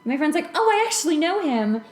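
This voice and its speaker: A woman's voice: sits high, sing-song, fast talker, roomy sound. An American woman in her late twenties tells personal stories casually, in a chatty, self-deprecating way, as a podcast host. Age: late 20s. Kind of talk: casual personal storytelling in conversation.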